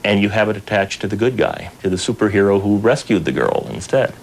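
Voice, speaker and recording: Male interviewee, keen collector: fairly deep, earnest, conversational, recorded with faint noise. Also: animated, fairly quick, poor audio. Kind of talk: casual interview talk.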